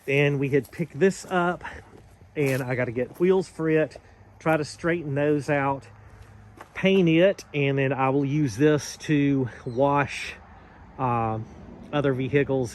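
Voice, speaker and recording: An American man chatting casually to viewers as he works on projects, folksy and informal, thinking aloud. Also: medium pitch. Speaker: host of a garage project vlog. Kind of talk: casual chat to viewers while working. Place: garage and yard.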